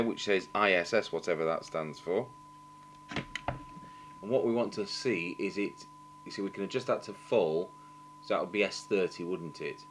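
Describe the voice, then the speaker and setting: A middle-aged Englishman with a fairly low voice talks through a technical job at a workbench. He is halting and unscripted, thinking aloud as he goes. There is some noise and hum behind him. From England. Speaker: radio engineer demonstrating repairs. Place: indoor workbench, voice off camera. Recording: noticeable background noise with hum.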